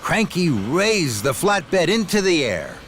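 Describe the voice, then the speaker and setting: Slow, lively male children's storyteller. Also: a little high, faint music behind. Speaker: storyteller narrator. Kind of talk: scripted children's story narration.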